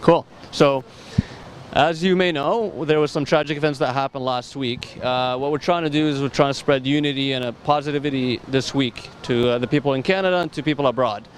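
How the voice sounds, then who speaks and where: A man interviewing passers-by on a city street, asking relaxed, friendly questions. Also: medium pitch, a little fast, a little hiss or hum.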